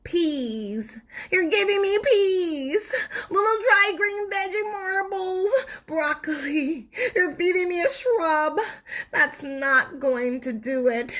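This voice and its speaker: Woman voicing a whining, high-pitched, melodramatic talking tooth. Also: animated, slow, fairly bright tone, heavily acted. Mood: indignant begging. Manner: melodramatic, wailing and wheedling. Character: bossy, whining, pleading sweet tooth with a sugar craving.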